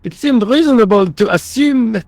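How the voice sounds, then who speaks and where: High-pitched, earnest French police sidekick. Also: male voice, animated, normal speed, creaky, a little mellow, no echo, exaggerated voice. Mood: eager, flustered. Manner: deferential, earnest, literal-minded. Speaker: police sergeant, assistant. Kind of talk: video game voice acting.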